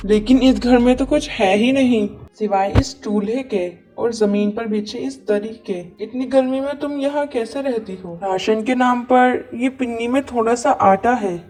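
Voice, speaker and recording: A woman's voice: on the high side, moderate pace, few pauses, a little mellow, soft background music, natural voice, poor audio. A woman voicing a loving, dutiful young man in a cartoon moral story, speaking earnestly. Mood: shocked concern. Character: young adult son, loving, dutiful, dismayed by poverty.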